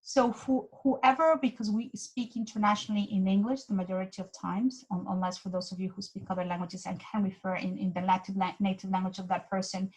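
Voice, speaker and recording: A woman's voice: mid-range voice, little pitch movement, a little fast, few pauses, darkish tone, low-quality recording. Woman giving a talk. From Mexico. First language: Spanish. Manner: earnest, with frequent restarts and repeated words. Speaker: guest speaker. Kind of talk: presentation talk.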